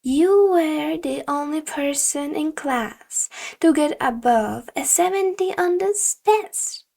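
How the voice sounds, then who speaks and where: Woman acting out a female schoolteacher, stern, condescending, high voice, slow emphatic lecturing, audiobook dialogue. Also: vocal fry, dark tone, full character voice. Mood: pointed, accusing.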